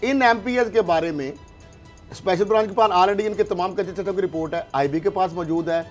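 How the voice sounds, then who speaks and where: Pakistani guy on a political talk show, high pitched, pushy and argumentative, faint music under him. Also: brisk. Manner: emphatic, argumentative, rhetorical questions. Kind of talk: heated political discussion, interjecting.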